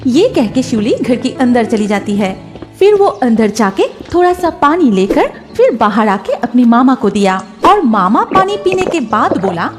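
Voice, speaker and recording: Female storyteller, high voice, scripted children's tale narration, music behind. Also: big pitch swings, measured pace.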